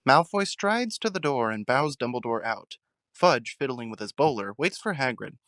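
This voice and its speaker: A man's voice: mid-range voice, big pitch swings, moderate pace, a bit bright, no echo. A man reading scripted narration for a fan audio drama, recorded close on the mic. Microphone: close mic, dry recording.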